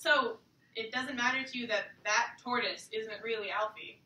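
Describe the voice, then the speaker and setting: A woman's voice: a little high, steady tone, moderate pace, bright, forceful tone, a little hiss or hum. A woman playing a shy, earnest older bachelor neighbour in love in a staged musical reading. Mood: hopeful, tender. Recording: echoey distant room recording.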